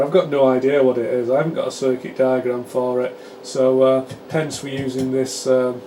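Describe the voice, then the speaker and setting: A British man with a mellow, relaxed voice presents a hands-on technical demonstration. He talks in a chatty, explanatory way with halting phrasing. Faint room noise sits behind his voice. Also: mid-range voice. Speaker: presenter demonstrating radio repair equipment.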